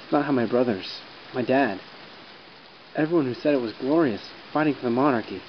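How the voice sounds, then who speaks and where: Man playing an earnest young army medic, medium pitch. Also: a little fast, lightly acted. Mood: disillusioned. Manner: earnest, emotional. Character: shaken, earnest, idealistic young army medic, newly arrived. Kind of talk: audio drama acting. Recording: dull sound with faint rain hiss.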